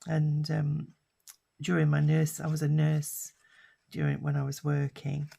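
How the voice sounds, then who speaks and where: An older British woman with a husky, hoarse voice from a lingering cough, chatting casually while she crafts. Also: low voice, monotone, a little slow, dark tone. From United Kingdom. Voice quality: husky, raspy. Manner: rambling and chatty, with many fillers. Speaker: craft vlogger.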